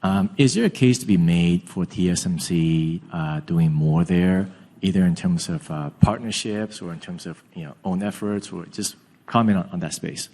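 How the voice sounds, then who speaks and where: Man, financial analyst, very low pitch, very mellow tone, halting unscripted questions, reverberant call. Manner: hesitant, halting, rephrasing as he goes.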